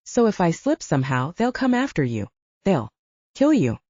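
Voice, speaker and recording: A woman's voice: lowish voice, big pitch swings, moderate pace, mellow, husky, no echo, highs cut off. A woman reading the lines of a nervous, self-mocking young human woman in an audiobook. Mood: anxious.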